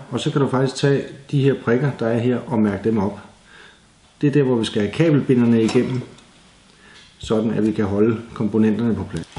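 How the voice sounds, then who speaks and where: A man's voice: mid-range voice, mellow. A Danish man presenting a do-it-yourself tutorial in a casual, plain-spoken, explanatory way. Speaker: DIY presenter. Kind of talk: hands-on tutorial narration, casual. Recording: echoey room, faint background noise.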